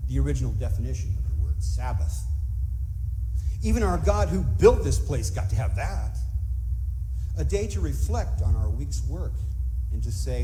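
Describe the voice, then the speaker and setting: Animated male preacher in a reverberant hall. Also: fairly high pitch. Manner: dramatic, wryly humorous delivery with colloquial asides. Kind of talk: sermon mixing scripture retelling and wry asides. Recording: noisy, reverberant large-hall recording.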